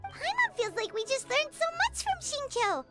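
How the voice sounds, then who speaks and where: A woman voicing a tiny, childlike, excitable fairy companion in a video game, with a squeaky, eager voice. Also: normal speed. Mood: curious, excited. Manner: excitable, refers to self in third person. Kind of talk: video game cartoon voice acting. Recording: game dialogue under loud music. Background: loud tinkling game music.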